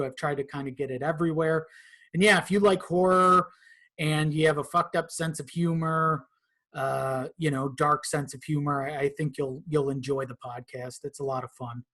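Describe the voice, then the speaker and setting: Male podcast guest, slightly high voice, informal, hesitant delivery with repeated phrases. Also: sharp tone. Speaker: podcast guest, audio drama creator. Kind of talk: casual chat.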